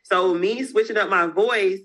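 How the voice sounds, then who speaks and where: A woman's voice: medium pitch, a little slow, fairly bright tone, husky. Woman, frank interview guest, casual slangy delivery. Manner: candid, frank, uses slang and mild cursing. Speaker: entrepreneur guest.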